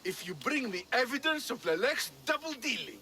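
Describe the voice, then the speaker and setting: A man playing a hot-tempered, haughty middle-aged nobleman and father in a video game, gravelly and given to insults. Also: very high-pitched, sing-song, moderate pace, pushed and bright, a little hiss or hum, exaggerated voice. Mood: scornful, haughty. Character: indignant, hot-tempered aristocratic Dorian father.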